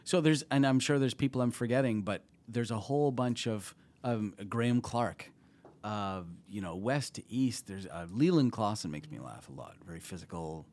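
A Canadian man talking shop on a podcast in a fairly low voice. He rambles loosely, circles back on his points and often lets his sentences trail away. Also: lively intonation, moderate pace, a little mellow. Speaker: comedy festival TV producer, guest. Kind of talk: casual podcast conversation.

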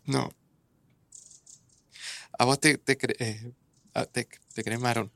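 A man's voice: medium pitch, steady tone, takes it slowly, gravelly, a bit bright, no room sound. An actor playing an earnest, hesitant man in his thirties, hurt and grieving, with a Rioplatense Argentine accent, in an audio drama. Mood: grieving, gentle. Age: 30s. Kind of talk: naturalistic audio drama acting.

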